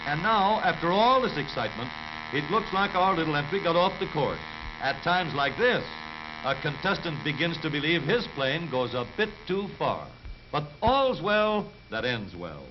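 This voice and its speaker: An American man narrates a 1930s newsreel in a jaunty, dramatic showman style. His fairly high voice rises and falls with great animation. The old soundtrack is slightly dull. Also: big pitch swings, normal speed, noisy background.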